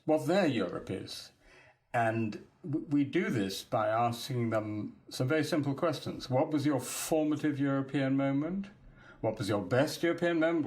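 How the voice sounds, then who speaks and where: Male podcast guest from the UK; mid-pitched, measured, articulate, restarts as he thinks. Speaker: guest, academic project leader. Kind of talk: podcast interview, explaining.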